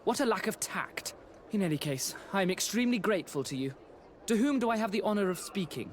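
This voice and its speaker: A man's voice: sits high, expressive, fast, slight background noise. A man playing a courteous, flippant, entitled young aristocrat in a video game. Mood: breezy, courteous. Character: breezy, entitled young male aristocrat gambler.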